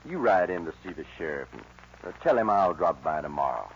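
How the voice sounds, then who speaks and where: An actor playing a cocky young American outlaw gunfighter, laconic and folksy, in a 1940s radio western. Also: male voice, low voice, sing-song, a little fast. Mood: evasive, casual. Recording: dull, hissy old radio recording.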